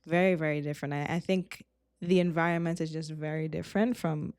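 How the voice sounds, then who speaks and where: Trinidadian woman, lively storyteller. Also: low voice, fairly quick, clear, resonant tone, dry recording, clean studio sound. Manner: animated storytelling, laughs often. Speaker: guest, former youth pastor. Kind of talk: casual podcast conversation.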